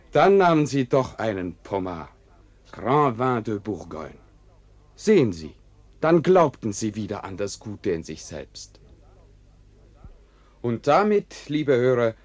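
Wry, suave radio-play narrator. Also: male voice, mid-range voice, a little mellow, dry recording. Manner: measured, ironic, insinuating. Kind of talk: radio drama narration addressing listeners and character.

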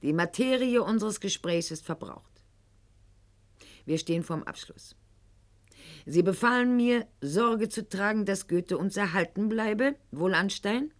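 A woman's voice: medium pitch, measured pace, lightly acted. An actress playing a proud, calculating eighteenth-century noblewoman in a radio drama monologue, with formal, haughty and eloquent diction addressed to her husband. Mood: defiant.

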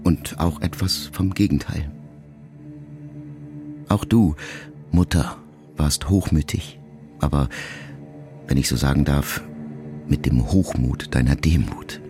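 Man, audiobook narrator, measured reflective reading, loud music bed. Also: a bass voice, fairly even intonation.

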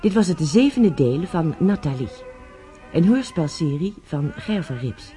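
Mellow, higher-pitched male radio announcer. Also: big pitch swings, unhurried. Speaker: announcer reading radio drama credits. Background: music under the announcement.

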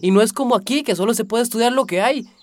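A man's voice: very high voice, fast talker, dry recording. A young man playing a restless, stubborn youth set on emigrating in a radio drama. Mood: insistent.

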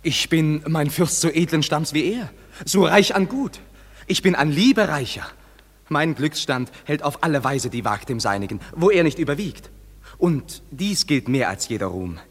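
An ardent young lover, highly melodic. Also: male voice, animated, normal speed, husky, big-room sound. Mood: proud, defiant. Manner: passionate, lyrical declamation. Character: ardent, lovelorn young nobleman, defiant suitor. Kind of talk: classical verse radio drama acting.

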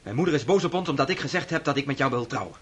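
A man's voice: medium pitch, lively intonation, very fast, a bit bright, slightly rough. An actor playing a young officer son, earnest and defiant toward his mother. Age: young adult. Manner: earnest and pleading. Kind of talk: radio drama acting.

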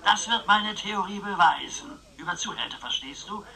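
Radio drama casting: scheming old uncle, piercingly bright higher voice, smug and lecturing. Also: male voice, animated, moderate pace, vocal fry, exaggerated voice, rough recording. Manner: lecturing, self-satisfied. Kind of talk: radio play acting.

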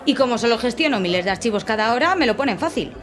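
Mujer interpretando a una archivera irritable de voz aguda. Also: female voice, on the high side, animated, quick pace, close to an everyday voice. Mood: sarcastic, exasperated. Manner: sarcastic, exasperated. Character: irritable, sarcastic young female file clerk. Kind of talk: audio drama acting. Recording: voice over music and bar crowd.

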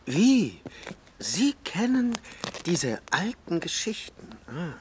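An actor playing an elderly, pedantic professor in a literary radio drama, halting and savoring his words. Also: high voice, very animated, a little hiss or hum, exaggerated voice. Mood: surprised. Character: elderly, half-blind professor, reminiscing pedantically.

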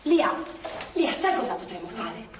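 Echoey, high-pitched woman partisan courier. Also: big pitch swings, measured pace. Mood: anxious. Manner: breathless, urgent, repeats phrases. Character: Lia, woman partisan courier in wartime Milan, agitated narrator. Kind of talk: theatrical monologue with acted dialogue. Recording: distant echoey stage recording with noise.

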